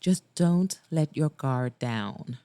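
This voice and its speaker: A woman's voice: deep voice, expressive, mellow, smooth. A woman voicing a knowing, teasing female best friend in an audiobook romance, drawing out her words with a smirk. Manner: teasing, smirking, drawn-out delivery.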